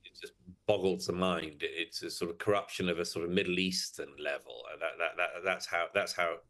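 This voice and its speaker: Deep-voiced man, measured commentary. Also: fairly even intonation, sharp tone. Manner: measured, emphatic, hesitant restarts. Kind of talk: interview commentary.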